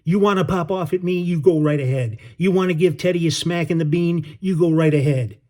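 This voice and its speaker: A man voicing a tough, blunt sailor with a New York accent and slangy, gruff talk. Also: mid-range voice, fairly even intonation, fast talker, mellow, close to an everyday voice. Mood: angry scolding.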